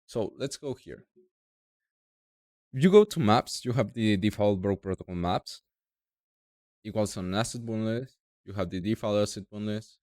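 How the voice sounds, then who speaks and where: A young man from Mexico with a Mexican Spanish accent, speaking halting non-native English as he walks through a software tutorial step by step. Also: on the low side, expressive, no echo, clean studio sound. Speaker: tutorial creator. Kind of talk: screen-recorded software tutorial.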